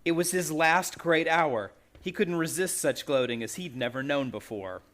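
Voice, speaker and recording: A man's voice: a little high, normal speed, fairly bright tone, natural voice. A man playing a bitter young man accused of murder in an old-time radio drama, delivered with dramatic weight. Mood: dawning realization.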